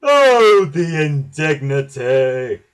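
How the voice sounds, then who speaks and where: A proud express engine from a children's storybook, huffy and indignant. He is loud and drawn-out, with pompous swoops in pitch. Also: very animated, clean tone, exaggerated voice. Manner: pompous, huffing.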